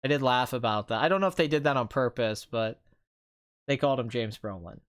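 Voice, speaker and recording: A man with a mid-pitched, clear voice hosts a live stream in a casual, conversational way. He rambles through his opinions informally. His throat sounds slightly strained, as if he has been talking for a long time. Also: fairly quick. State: voice tiring and strained after two hours of talking. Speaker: live stream host, film commentator.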